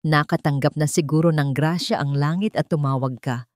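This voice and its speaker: A woman's voice: sits low, normal speed, darkish tone, no echo, studio quality, lightly acted. A woman voicing a man who is a sarcastic, cautioning partner in crime in an audio story. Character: sarcastic, wary male accomplice on the phone.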